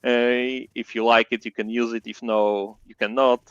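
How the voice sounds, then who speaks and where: Casual Polish-accented man on a video call, halting speech with fillers, smooth timbre. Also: mid-range voice, little pitch movement, no room sound, lo-fi sound. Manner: halting non-native English, many fillers. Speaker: indie game developer guest. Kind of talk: casual interview chat.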